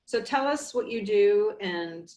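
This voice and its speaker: A woman's voice: mid-range voice, animated, slow pace, darkish tone, slight background noise. A woman in her forties hosting a casual work interview from a home office over a headset, friendly and encouraging. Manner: friendly, encouraging, short affirmations. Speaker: host.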